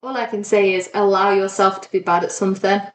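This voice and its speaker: A woman with a medium-pitched voice in a noticeably echoey, roomy recording. She is a podcast co-host, chatting casually and informally with an encouraging manner. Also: steady tone, fairly quick, slight background noise.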